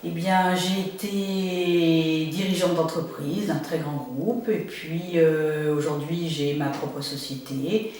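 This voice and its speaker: A woman's voice: sits low, fairly even intonation, takes it slowly, darkish tone. Determined woman in her fifties, echoey. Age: 50s. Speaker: business owner. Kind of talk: personal testimony. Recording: echoey distant mic.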